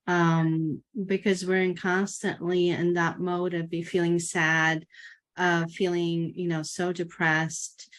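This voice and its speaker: Slow-speaking woman host, thoughtful. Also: fairly low pitch, a bit bright. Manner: thoughtful, coaching, affirming. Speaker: podcast host. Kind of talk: interview conversation.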